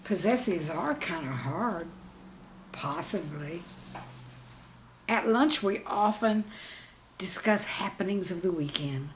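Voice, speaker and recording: Slow-talking woman telling classroom stories. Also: lowish voice, animated, a little hiss or hum. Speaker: teacher reading own humorous classroom anecdotes. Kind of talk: scripted humorous narration.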